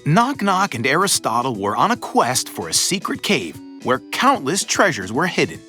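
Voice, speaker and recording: A man narrating a children's story in an animated, scripted storybook manner. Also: a little high, normal speed. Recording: music behind the voice.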